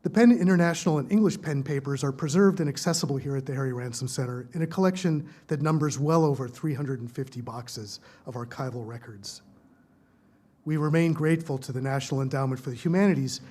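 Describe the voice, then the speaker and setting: A man's voice: mid-range voice, darkish tone. Man giving formal event introductions. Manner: measured and formal, reading from prepared remarks. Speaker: event host introducing speakers. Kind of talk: reading formal introductions at a podium. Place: lecture hall at a research library.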